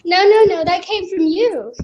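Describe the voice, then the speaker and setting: A woman in a casual group chat, explaining ideas in an articulate, measured way. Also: very high voice, a little mellow, lo-fi sound. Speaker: discussion participant.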